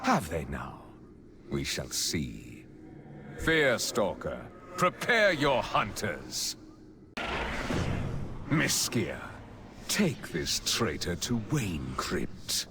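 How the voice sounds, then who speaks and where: An actor playing an imperious, gloating vampire lord with pompous, theatrical menace in a video game cinematic. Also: male voice, sits low, wildly swooping pitch, very slow, long pauses, background noise, exaggerated voice. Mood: cold menace. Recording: loud music behind the voice.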